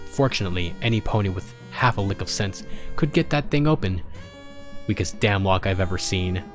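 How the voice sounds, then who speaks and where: A man's voice: low-pitched, animated, fairly quick. A man voicing a grumpy, sarcastic pony maintenance supervisor who dictates log entries in a story. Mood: exasperated. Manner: exasperated, sarcastic, swearing. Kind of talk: dramatic storybook character reading. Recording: music behind the voice.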